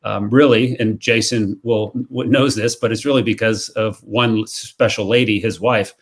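A man's voice: on the low side, fairly even intonation, moderate pace. A man speaking as a charity guest on a podcast, earnest and grateful, with frequent fillers. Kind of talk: casual podcast chat.

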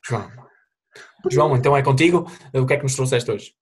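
A young man with a European Portuguese accent, co-hosting a podcast in a relaxed way and steering the chat. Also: mid-range voice, a little fast, breathy edge. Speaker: podcast co-host. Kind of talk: casual chat among friends.

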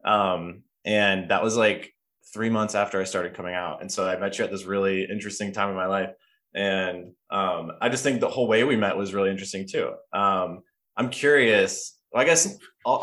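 A man's voice: lowish voice, fairly bright tone. A man hosting a podcast in a casual, personal chat, rambling and often interrupting himself as he goes. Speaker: podcast host.

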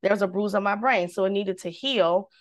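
Woman singer, animated storyteller, middle-pitched voice, casual chat. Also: brisk. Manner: animated storyteller, acts out quotes, devout. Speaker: guest. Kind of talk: personal story in casual conversation.

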